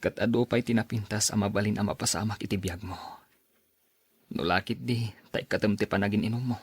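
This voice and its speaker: A kind, patient young suitor in a radio drama. His voice is on the low side and husky, recorded dry. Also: steady tone, brisk, no room sound. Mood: pleading. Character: kind, patient young man advising the woman he loves. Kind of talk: radio drama acting in dialogue.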